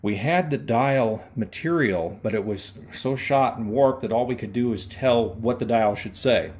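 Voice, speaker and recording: A man's voice: medium pitch, measured pace, a little mellow. Man explaining step by step. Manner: methodical, detailed explaining. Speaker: radio restorer presenting his work. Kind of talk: hands-on demonstration and explanation.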